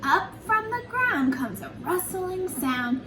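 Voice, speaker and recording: High sing-song woman storyteller, roomy distant recording. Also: big pitch swings, slow. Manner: sing-song, addresses young children directly as friends. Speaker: children's storyteller, early childhood educator. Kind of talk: read-aloud picture book with chatty asides to children. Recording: roomy, distant mic, faint background noise.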